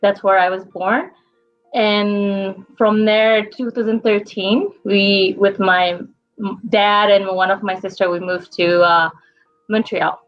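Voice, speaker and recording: A young woman from Bangladesh telling her story with hesitant, halting phrasing. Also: mid-range voice, steady tone, faint music behind. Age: young adult. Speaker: interview guest. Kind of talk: casual personal storytelling. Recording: dull lo-fi audio.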